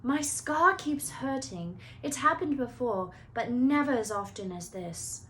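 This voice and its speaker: Intense young schoolboy hero female reader, troubled and insistent, raised pitch, storybook read-aloud with faint noise. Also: animated, a little slow, fairly bright tone, low-quality recording. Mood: angry frustration. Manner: emphatic, insistent.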